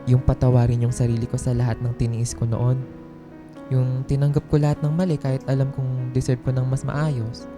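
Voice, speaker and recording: A woman's voice: a bass voice, little pitch movement, fairly quick, music in the background. A woman playing an earnest, self-doubting young office worker in a radio drama, speaking heartfelt, conversational Tagalog-style lines with a Filipino accent.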